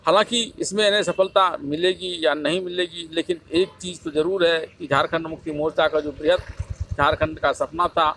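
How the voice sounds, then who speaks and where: A man delivers scripted news commentary as a presenter. His voice is pitched high for a man, and some faint ambient noise sits behind him. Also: fairly even intonation, moderate pace, a bit bright. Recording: clear background noise.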